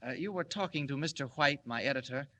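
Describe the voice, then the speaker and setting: An actor playing a mild-mannered newspaper reporter, courteous and slightly hesitant, in 1940s radio style. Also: male voice, mid-range voice, fast, pushed and bright. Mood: polite, earnest.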